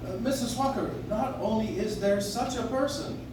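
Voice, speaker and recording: A man's voice: sits high, normal speed, lightly acted. A man playing a kindly, dignified old man who claims to be Santa in a live stage radio play. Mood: genial, earnest. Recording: echoey noisy room, distant audience recording.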